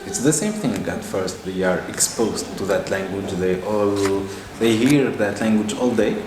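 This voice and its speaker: A man's voice: lowish voice, measured pace. Give me a man hosting a podcast in an echoey room. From Tunisia. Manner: supportive, with short affirmations. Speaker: podcast host. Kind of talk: casual interview chat. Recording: roomy echo with steady noise.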